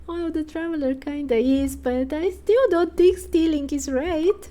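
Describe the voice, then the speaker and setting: High-pitched small fairy sidekick acted by a woman, excitable, naive, indignant, slow pacing, game dialogue. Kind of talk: fan voice-over of game dialogue.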